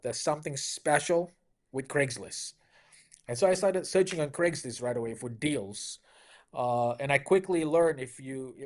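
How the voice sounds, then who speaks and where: A middle-aged man from Malaysia, a car dealership owner, telling stories in an upbeat, conversational interview style with frequent "you know" fillers. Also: mid-range voice.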